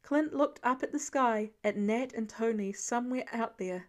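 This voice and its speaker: A woman reading fiction aloud as an expressive audiobook narrator. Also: fairly high pitch, normal speed.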